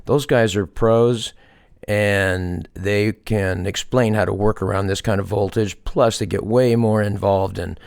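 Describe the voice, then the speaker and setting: A man with a fairly low voice and a crackly, fry-laden edge talks through a project as a hobbyist. His delivery is unscripted and casual, a little hesitant as he works things out aloud. The sound is dry, with very little room in it. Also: steady tone, measured pace, darkish tone, no echo. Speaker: hobbyist restorer presenting a project. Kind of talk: casual unscripted show-and-tell narration.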